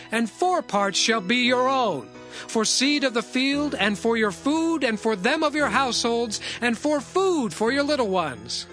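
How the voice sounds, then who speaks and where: A man's voice: very high-pitched, bright, forceful tone, heavily acted. A man playing a commanding young governor of Egypt in a dramatized scripture reading, declaiming with authority.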